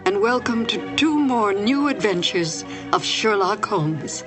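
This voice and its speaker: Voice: medium pitch, expressive, takes it slowly. Programme host, scripted narration, introductory delivery, music in the background.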